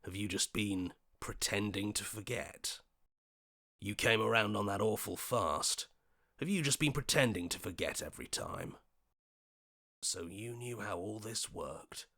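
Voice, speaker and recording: A man voicing a level-headed, questioning hero in a video game, speaking earnestly in short deliberate lines. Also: lowish voice, expressive, vocal fry, sharp tone, full character voice. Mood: questioning, suspicious.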